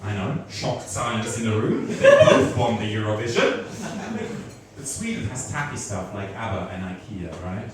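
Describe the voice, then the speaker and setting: A man's voice: lowish voice, wildly swooping pitch, moderate pace, recorded from a distance. Swiss man with German accent doing stand-up. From Switzerland. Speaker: stand-up comedian. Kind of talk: stand-up comedy set. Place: comedy club stage before a laughing audience. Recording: roomy club audio with audience noise.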